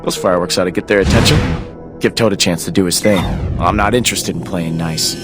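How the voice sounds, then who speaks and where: Low-pitched, resolute young male game hero actor. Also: a little fast, lightly acted. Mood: guarded. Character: determined young male mutant, guarded and resolute. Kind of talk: video game cutscene voice acting. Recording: loud music behind game dialogue.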